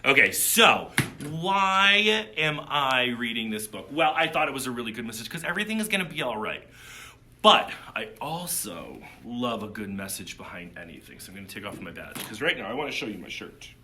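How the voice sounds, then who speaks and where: A man's voice: medium pitch, big pitch swings, a little fast, pushed and bright, a little hiss or hum. A man hosting a casual livestreamed story time for children, playful and chatty, talking straight to his viewers.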